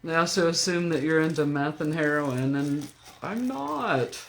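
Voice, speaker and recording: Deep-voiced older woman ranting slowly. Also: animated, vocal fry, a little hiss or hum. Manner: blunt, profane ranting. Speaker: vlogger. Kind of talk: rambling talk to camera. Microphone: camera mic.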